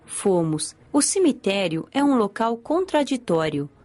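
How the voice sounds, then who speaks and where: A woman's voice: mid-range voice, sing-song, a little slow, a little mellow. A woman playing an earnest, curious young female spirit, telling of her afterlife in Brazilian Portuguese audiobook narration. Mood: observant.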